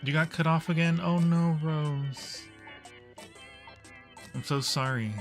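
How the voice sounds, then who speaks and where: A man's voice: on the high side, steady tone, slow. A man hosting a casual gaming livestream, chatting and reacting as he plays along with viewers. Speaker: livestream host. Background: game music.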